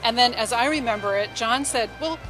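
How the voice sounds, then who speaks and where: A woman's voice: a little high, fairly quick, over music, rough recording. A woman speaking as an interview guest, telling stories in an enthusiastic, effusive, conversational way. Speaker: novelist and radio drama writer, interview guest.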